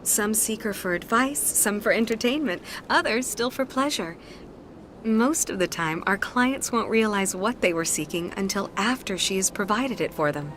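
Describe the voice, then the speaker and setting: A woman voicing a courteous, poised alien acolyte who receives clients in a video game, polite, soothing and measured. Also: mid-range voice, animated, a bit bright, slight background noise, close to an everyday voice. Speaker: receptionist acolyte. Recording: game music behind the voice.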